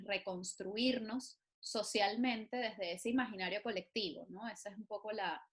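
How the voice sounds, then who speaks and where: A woman's voice: mid-range voice, a bit bright. A woman from Venezuela hosting an interview podcast, encouraging and musing aloud with fillers. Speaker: podcast host.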